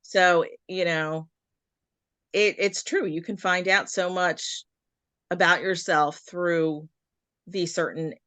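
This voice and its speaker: A woman's voice: lowish voice, steady tone, takes it slowly, long pauses, fairly bright tone, no echo. A woman guest in a casual video-call interview, talking earnestly with frequent fillers and restarts. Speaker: guest, occupational therapist and Reiki practitioner.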